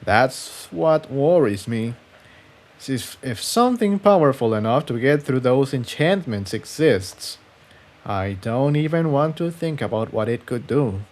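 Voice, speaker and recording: A man's voice: mid-range voice, lively intonation, measured pace, darkish tone, lightly acted. Man voicing grave, hesitant magician pony stallion. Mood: worried.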